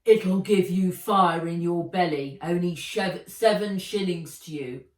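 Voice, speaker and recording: A woman voicing a gruff, sly, hunched old male goblin peddler in a children's storybook. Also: lowish voice, unhurried, natural voice. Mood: sly, hawking. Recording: roomy echo, distant microphone.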